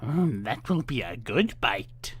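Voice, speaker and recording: A man voicing a wicked old witch from a storybook, with a theatrical, menacing, drawn-out delivery. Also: medium pitch, sing-song, slight background noise. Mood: gloating. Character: wicked old witch, cajoling then cruel and impatient. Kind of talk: dramatized fairytale storybook reading.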